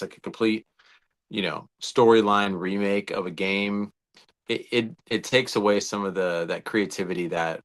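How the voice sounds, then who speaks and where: A man's voice: low-pitched, fairly bright tone. A man, perhaps in his thirties or older, co-hosting a casual movie discussion with an enthusiastic, rambling fan's delivery. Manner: enthusiastic, rambling fan talk. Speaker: podcast co-host.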